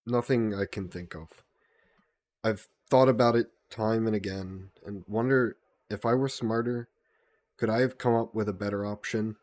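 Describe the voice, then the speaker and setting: A man playing a gentle, guilt-burdened vampire caretaker in an intimate audio roleplay, speaking politely and formally with some hesitation. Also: lowish voice, fairly even intonation, unhurried, pauses often, no echo, close to an everyday voice. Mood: regretful, reflective. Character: gentle, guilt-burdened male vampire employer caring for a guest.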